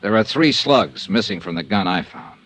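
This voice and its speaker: A clipped radio private eye, animated pitch. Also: male voice, moderate pace, dry recording. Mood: matter-of-fact. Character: tough, plain-spoken male private insurance investigator, narrating his case. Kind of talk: 1950s radio detective drama acting.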